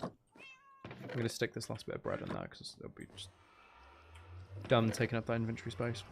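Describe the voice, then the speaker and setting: A man's voice: medium pitch, huge pitch swings, moderate pace, vocal fry, background noise. A man doing casual live gameplay commentary, rambling and thinking aloud as he chats with viewers. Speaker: video game livestreamer.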